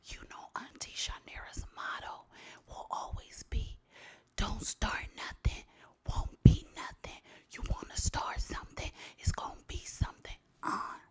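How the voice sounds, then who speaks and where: A woman from Los Angeles whispering blunt, sassy advice in an ASMR style. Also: measured pace. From Los Angeles, USA. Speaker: advice giver.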